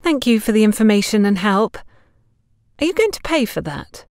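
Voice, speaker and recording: A woman's voice: medium pitch, sing-song, normal speed, a little mellow, no room sound, studio quality, natural voice. A woman voicing a firm, careworn widow shopkeeper in an audio story, polite but pointed. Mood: politely firm.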